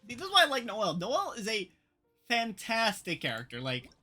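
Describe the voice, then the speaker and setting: A game streamer giving casual live commentary in an expressive, chatty way. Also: medium pitch, a little fast. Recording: game music behind the voice. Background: video game music.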